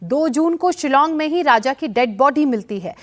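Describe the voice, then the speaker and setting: High-pitched woman presenting TV news. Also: animated, normal speed. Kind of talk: scripted news narration, presenting to viewers.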